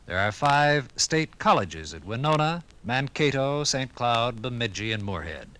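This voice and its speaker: A 1950s radio recording of an American man presenting formally from a script. His medium-pitched voice is bright and lively, with clearly varied intonation. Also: measured pace. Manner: formal, enumerating.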